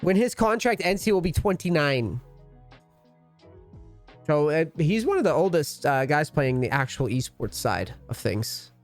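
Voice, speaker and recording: A man's voice: fairly high pitch, sing-song, a little slow. Guy in his 30s rambling about gaming, swears a lot, faint music under him. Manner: rambling and profane, loose slang. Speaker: League of Legends coach and streamer. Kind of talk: casual commentary.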